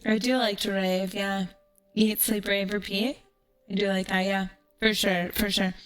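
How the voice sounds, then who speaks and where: A woman's voice: mid-range voice, flat delivery, measured pace, fairly bright tone, smooth, soft background music. A woman streamer chatting casually and ranting in a brash, profane, sarcastic way. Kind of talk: casual livestream chat with ranting storytelling.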